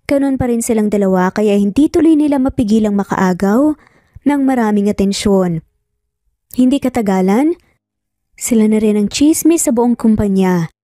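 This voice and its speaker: Filipino woman, story narrator, scripted romance drama, soft mellow tone, dry sound. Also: medium pitch, no room sound.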